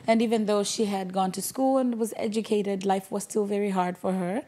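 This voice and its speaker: A woman's voice: medium pitch, fairly even intonation, normal speed, mellow. A young adult woman from Zimbabwe, a guest in a small studio interview, telling her story in a composed way. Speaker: guest, clothing designer. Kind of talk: casual interview talk.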